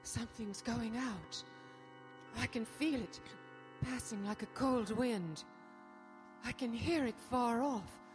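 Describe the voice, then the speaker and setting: A woman's voice: a little high, slow, long pauses. A woman playing an eerie, haunted young Victorian woman in a hypnotic trance in a live radio horror drama. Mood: entranced, uneasy. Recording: live hall stage with music behind.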